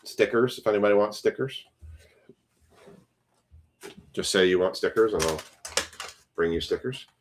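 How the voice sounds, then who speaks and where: Low-voiced man chatting while opening packs. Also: moderate pace, pauses often, a little hiss or hum. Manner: rambling, chatty, talks to few viewers. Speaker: card break streamer. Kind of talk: casual live-stream chat while opening packs.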